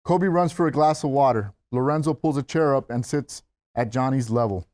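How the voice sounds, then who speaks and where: A man narrates a script at a table read, reading out the stage directions. His pitch sits in the middle range, and the sound is dry and close. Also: normal speed, a little mellow, no room sound.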